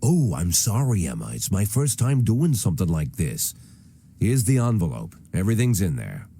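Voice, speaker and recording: An aging American police chief in a video game, low and dark-toned, with a gruff, sarcastic edge. His pitch moves around a great deal as he needles people. A faint noise sits behind him. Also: huge pitch swings, moderate pace, exaggerated voice. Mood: irritated. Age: older. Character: jaded, sardonic aging male police chief. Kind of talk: naturalistic video game voice acting.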